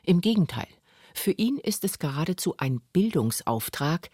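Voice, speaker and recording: A man's voice: a little high, very animated, normal speed, darkish tone, husky, no echo, clean studio sound. A man reading scripted documentary narration for a radio feature. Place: radio studio.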